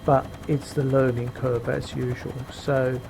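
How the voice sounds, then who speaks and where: A middle-aged man with a very deep voice, giving casual commentary while flying a simulated helicopter, unhurried and full of fillers, on a cheap headset microphone. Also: flat delivery, gravelly. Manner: unhurried, explains as he flies, frequent fillers. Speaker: flight sim video maker. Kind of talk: casual flight sim commentary. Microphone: cheap gaming headset microphone. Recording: loud helicopter engine noise behind. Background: helicopter sim engine and rotor noise.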